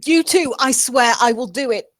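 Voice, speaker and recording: A defiant, distraught woman played by an actress in a dramatic table read. She is intense and challenging, her voice fairly high, recorded very dry. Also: normal speed, dry recording, lightly acted. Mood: threatening.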